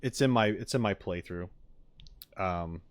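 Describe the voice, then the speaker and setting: Male streamer, low voice, casual and hesitant, thinking out loud to viewers. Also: a little slow. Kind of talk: casual chat.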